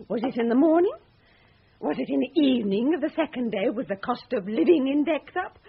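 A woman's voice: high voice, lively intonation, fairly quick, gravelly, breathy edge, exaggerated voice. A woman acting in a radio drama as a scornful, sarcastic woman with a mocking, clipped way of questioning. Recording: dull, muffled radio drama recording.

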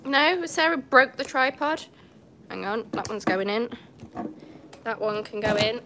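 A woman's voice: on the high side, lively intonation, a little slow, noisy background. A young English woman with a cold, chatting on a livestream from a cat cafe, apologetic and laughing often. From England. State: has a cold. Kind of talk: casual livestream chat. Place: indoor cat cafe with large windows. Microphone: phone on a tripod.

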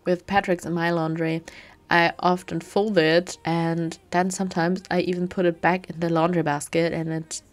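A woman in her twenties narrating a home cleaning vlog in a relaxed, chatty voice-over, full of fillers. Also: lowish voice, moderate pace. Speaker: homemaking vlogger.

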